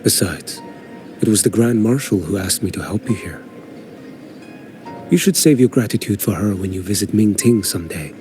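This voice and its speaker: A calm, grave wandering swordsman, voiced by a man in a video game, his voice sitting somewhat low. He talks in a measured, solemn way over game music. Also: lightly acted. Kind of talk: game voice acting. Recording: game audio with music underneath.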